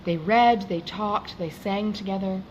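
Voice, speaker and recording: A woman reading a classic novel aloud as an audiobook, with formal, expressive phrasing. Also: medium pitch, a little slow, lo-fi sound, slight background noise. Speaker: audiobook reader.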